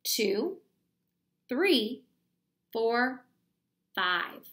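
A woman in her thirties reading a children's book aloud like a teacher, explaining deliberately and asking the young listeners questions. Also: fairly high pitch, very animated, a bit bright. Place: small plain room at home, indoors.

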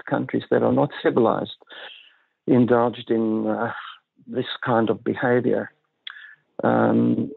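Older male guest, gravelly and husky, measured and reflective, heard over a dry, tinny phone line. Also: mid-range voice, dry recording. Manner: measured, thoughtful, halting with restarts. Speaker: guest psychiatrist. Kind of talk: reflective interview talk.

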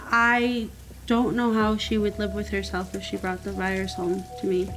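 A woman's voice: medium pitch, little pitch movement, moderate pace, fairly bright tone. A woman, a paramedic's wife, speaking in an anxious, earnest way in an interview. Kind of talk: emotional interview.

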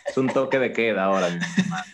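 Jovial low-voiced Dominican man on a call. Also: quick pace. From Puerto Plata, Dominican Republic. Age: 40s. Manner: jovial, playful self-praise. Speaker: guest. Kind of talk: casual interview chat. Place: indoors, bright room. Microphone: video call.

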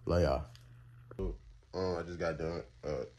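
Deep-voiced teen guy vlogging on a phone. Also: steady tone, a little slow, warm tone, soft background music. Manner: rambling and slangy, lots of fillers, talks to chat. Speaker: college student vlogger and streamer. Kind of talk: casual vlog chat to camera. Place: small bedroom and bathroom indoors. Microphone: phone camera held at arm's length or propped.

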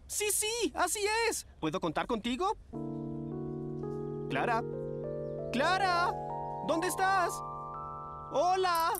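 A woman's voice: extremely high pitch, sing-song, slow pace, long pauses, a bit bright, full character voice. A woman voicing a gushing, theatrical young male modeling agent in a children's cartoon, with a Latin American accent. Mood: gushing excitement. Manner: effusive, theatrical. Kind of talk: dubbed children's cartoon acting. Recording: light music behind the voice.

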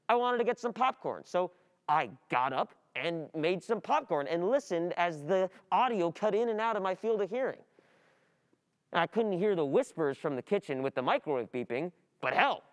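Anxious, distracted young student, performed by an actor, mid pitch, halting and repetitive, radio play monologue. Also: lightly acted.

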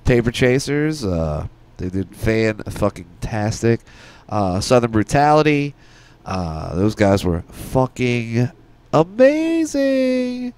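A man's voice: mid-range voice, sing-song, slow pace, darkish tone, a little hiss or hum. A man co-hosting a casual podcast, rambling and swearing freely as he chats into a close studio mic. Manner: rambling, profane. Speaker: podcast co-host. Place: small studio room. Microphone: close podcast mic with headset.

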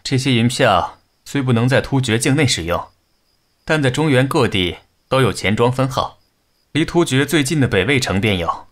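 A man's voice: medium pitch, animated, normal speed, a bit bright, natural voice. A man playing a courteous, earnest negotiator of ancient China in a costume audio drama, formal and serious.

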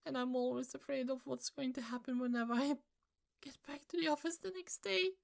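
A woman playing a hurt, fed-up office coworker in an ASMR roleplay, halting and pleading, with pauses and sighs. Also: sits high, steady tone, vocal fry, voice pushed up. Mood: upset, pleading. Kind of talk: ASMR roleplay, slow intimate monologue.

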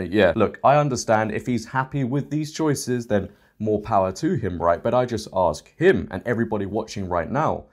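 A man's voice: on the low side, a little mellow. A young British man in his twenties giving mocking, sarcastic reaction commentary to the camera from a small home studio. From Britain. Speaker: commentary host.

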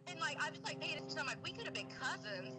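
A woman's voice: high-pitched, very animated, rapid-fire, over music. A woman in her fifties on a speakerphone, chatting casually and telling stories with salty, self-deprecating talk. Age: 50s. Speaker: caller. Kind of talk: casual chat, animated storytelling. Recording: phone on speaker, background noise.

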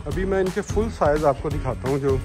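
A man's voice: a little high, fairly quick. Man vlogging over music. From Pakistan. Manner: informal, repeatedly urging viewers to look. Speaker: vlog host. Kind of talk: casual walk-around farm tour. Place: outdoors, roadside and open farm sheds. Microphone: phone at arm's length. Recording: background music under outdoor phone audio.

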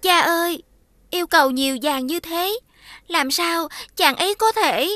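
High-voiced, pleading young maiden. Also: female voice, measured pace, no echo, clean studio sound, full character voice. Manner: pleading, soft-hearted. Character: gentle, devoted young daughter in love. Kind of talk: animated fairy-tale acting. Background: faint background music.

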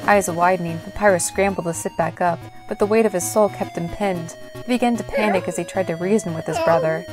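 Slightly low-voiced woman narrating a story. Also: fairly quick, over music, rough recording.

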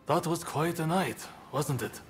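A man playing a composed, courteous young samurai rebel leader in a video game, speaking in a polite, measured and earnest way. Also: a little high, faint music behind, natural voice. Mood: wry, measured. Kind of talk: video game cutscene acting.

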